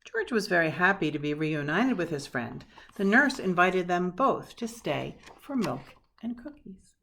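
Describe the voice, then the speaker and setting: A woman reading a children's picture book aloud expressively, with dramatic pauses and hushed asides. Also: lowish voice, sing-song, vocal fry. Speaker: storybook reader.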